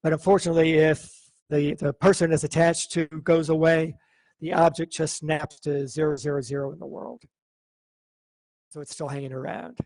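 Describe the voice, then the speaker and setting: Guy teaching an online coding class, kinda high voice, dry mic, thinks out loud. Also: moderate pace, vocal fry, dry recording, low-quality recording. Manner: relaxed, thinking aloud with fillers.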